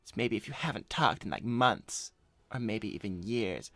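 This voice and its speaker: A man's voice: lowish voice, expressive, moderate pace, a bit bright, no room sound. Man playing a cocky slacker cartoon hedgehog, dry sound. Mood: coaching. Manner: casual, blunt, self-assured. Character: cocky, broke male hedgehog slacker giving shady advice. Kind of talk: improvised in-character livestream chat.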